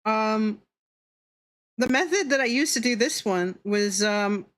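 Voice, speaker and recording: A woman's voice: a little high, expressive, slow pace, a bit bright. A woman livestreamer chatting casually with her viewers in a playful, teasing, giggly way, swearing freely. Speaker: streamer, VTuber. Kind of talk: casual livestream chat with viewers while drawing.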